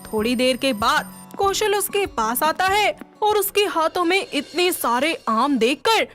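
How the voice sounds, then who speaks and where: High-voiced woman narrating a story. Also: animated, unhurried, a bit bright. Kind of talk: scripted moral story narration. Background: faint music.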